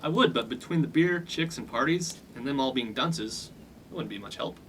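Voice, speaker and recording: A man's voice: medium pitch, animated, moderate pace, a bit bright, a little hiss or hum, close to an everyday voice. A man playing a cocky, blunt young fraternity upperclassman with a chip on his shoulder in a comic radio play. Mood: pleading. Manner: blunt, slangy. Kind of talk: 1950s-set comic radio play acting.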